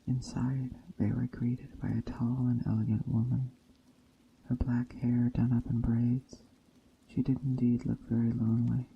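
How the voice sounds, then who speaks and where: A man reading a story aloud in a soft ASMR style, slowly and deliberately, in a hushed bedtime storytelling manner. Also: mid-range voice, gravelly, slight background noise. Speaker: storyteller, narrator. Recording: dull muffled sound, faint background ambience. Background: soft nature ambience.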